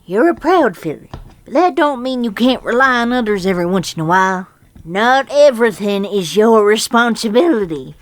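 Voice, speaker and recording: A woman's voice: fairly high pitch, animated, normal speed, no room sound, lightly acted. A woman voicing a firm but kindly elderly country granny pony, with folksy speech, in a dramatic reading. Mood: gentle, firm. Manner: folksy, plain-spoken rural speech. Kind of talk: dramatic fan fiction reading.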